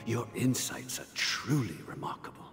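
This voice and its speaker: An actor playing a calm, sinister fatherly patriarch in a game, speaking with grand, creepy benevolence. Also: mid-range voice, normal speed, big-room sound, soft background music. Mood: benevolent, solemn. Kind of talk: dramatic game voice acting.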